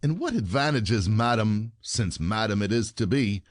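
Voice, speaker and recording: An actor playing a bitter, sarcastic husband, a rich commoner full of complaint, in a theatrical comedy audio play. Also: male voice, fairly low pitch, animated, normal speed, no echo, studio quality, lightly acted.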